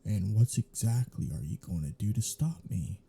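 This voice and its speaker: A man playing a sardonic, teasing vampire in an intimate ASMR roleplay, with a husky, breathy voice and a sarcastic, mocking drawl. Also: fairly low pitch, animated, moderate pace, heavy background noise. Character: sardonic, teasing male vampire, grumbling and irreverent.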